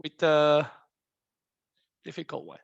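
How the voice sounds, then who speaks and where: A man's voice: fairly high pitch, expressive, takes it slowly, vocal fry, no room sound, dull audio. A young man from Korea with a Korean accent, a native Korean speaker teaching a language lesson in English, playful and quizzing his viewers with repeated questions.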